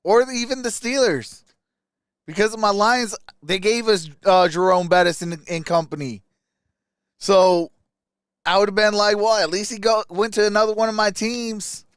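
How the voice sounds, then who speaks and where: Male sports stream host, smooth high voice, colloquial fan talk, close dry sound. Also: steady tone, normal speed, no echo. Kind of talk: casual live sports commentary.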